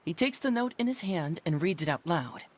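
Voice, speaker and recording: A woman voicing a formal, measured storytelling narrator of a staged play in a video game, slightly wry. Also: fairly low pitch, lively intonation, slight background noise, natural voice.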